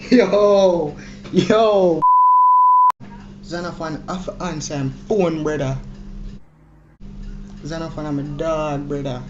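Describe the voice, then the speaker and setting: A young Jamaican man chats casually as a video host, slangy and full of repeated exclamations. His voice sits fairly high and he takes his time, on muffled audio with clear background noise. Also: fairly even intonation, slow, long pauses. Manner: slangy, repetitive exclamations and pleading. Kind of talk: casual vlog chat.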